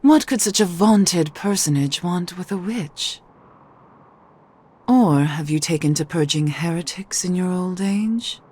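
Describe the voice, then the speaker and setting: A woman's voice: lowish voice, measured pace, darkish tone, slightly rough. An actress playing a proud, wary witch in a video game, with formal, arch and sardonic phrasing. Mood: mocking. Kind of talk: scripted video game acting.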